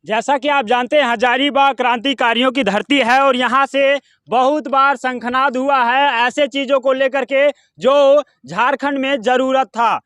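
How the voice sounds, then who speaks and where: A man's voice: very high voice, steady tone, a little slow, a bit bright, clear, resonant tone, no room sound. A man, reporting and interviewing on location as a field news reporter. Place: outdoor public ground.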